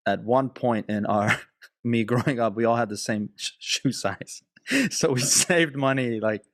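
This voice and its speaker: A man's voice: medium pitch, measured pace, no echo. A man hosting a podcast, laid-back and joking, laughing easily and swearing casually. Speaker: podcast host. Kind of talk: casual conversation.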